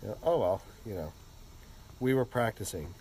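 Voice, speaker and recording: A man with a stuffy, congested voice from a cold, hosting a casual podcast chat in a relaxed, rambling way. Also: lowish voice, moderate pace, a little hiss or hum, low-quality recording. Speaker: podcast host.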